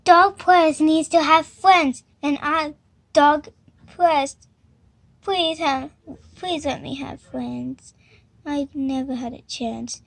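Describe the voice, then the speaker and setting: A woman's voice: high-pitched, steady tone, slow pace, gravelly, dry recording, full character voice. A woman voicing a gloomy, friendless toy dog who begs in a subdued, mournful way. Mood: gloomy pleading.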